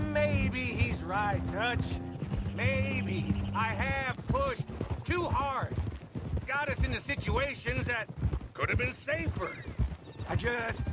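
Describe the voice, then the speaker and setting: High-pitched, drawling, sly outlaw. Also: male voice, exaggerated voice. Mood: feigned regret. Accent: American. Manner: drawling, sardonic. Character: scheming, needling male outlaw gunslinger. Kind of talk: dramatic video game acting. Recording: loud music and horse hooves behind.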